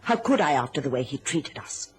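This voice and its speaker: A woman's voice: sits low, big pitch swings, fairly quick. Self-pitying middle-aged mother, melodramatic radio acting, wide swings of intonation, slightly noisy vintage recording. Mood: bitter, self-justifying. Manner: theatrical, indignant, martyred. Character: bitter, scheming, self-pitying mother of a grown son. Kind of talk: old-time radio drama acting.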